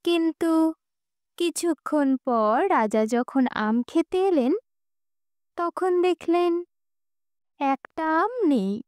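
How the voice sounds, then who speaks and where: A woman narrating a scripted children's folk tale as an audiobook storyteller, with deliberate, expressive emphasis. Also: extremely high pitch, no room sound, clean studio sound.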